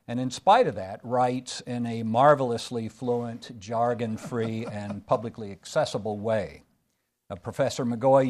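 A man moderates a panel, introducing the guest and posing a question. He talks hesitantly and pauses often. His voice is of ordinary middle pitch. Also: a little mellow. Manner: hesitant, many "uh" fillers, halting questions.

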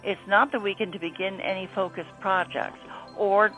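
A woman's voice: mid-range voice, steady tone, normal speed. A woman presenting an astrology segment on radio, reading from a script. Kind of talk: scripted radio horoscope narration. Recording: dull lo-fi audio. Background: faint music.

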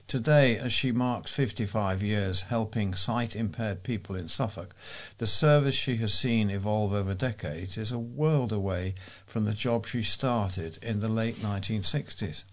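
Low-pitched man reading local news. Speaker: volunteer newsreader.

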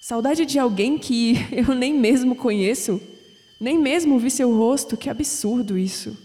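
An actress with a Brazilian accent playing a wistful, anguished young skeptic in an audio drama, sighing and pausing dramatically. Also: female voice, fairly high pitch, warm tone, natural voice. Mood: wistful, troubled. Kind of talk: audio drama acting, inner monologue. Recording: long reverb, music underneath.

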